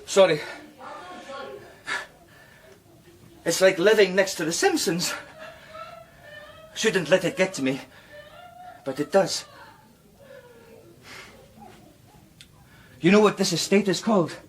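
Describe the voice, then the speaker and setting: An actor playing a brooding, guilt-ridden Scottish composer, intense and defensive, in a radio drama. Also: male voice, sits high, normal speed, pauses often, slight background noise, lightly acted. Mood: bitter.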